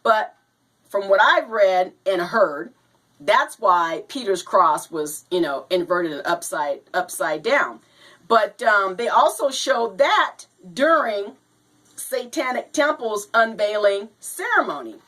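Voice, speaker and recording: Hoarse, slow-talking woman host. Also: mid-range voice, lively intonation, sharp tone. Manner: slow, halting, says "you know" and "now". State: hoarse from a cold. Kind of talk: reading and talking to viewers.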